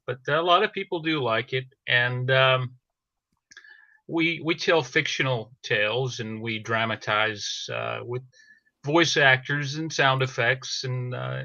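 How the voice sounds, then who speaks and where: Man, gravelly fry, forward bright tone, halting casual explanation, podcast guest, slightly lo-fi sound. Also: mid-range voice, no echo. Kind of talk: casual explanatory chat, live interview.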